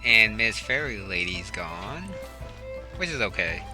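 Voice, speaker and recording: A man's voice: fairly low pitch, expressive, a little slow. A man giving casual, offhand commentary while playing a video game. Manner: offhand, musing, with fillers. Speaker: gaming commentator. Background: game music.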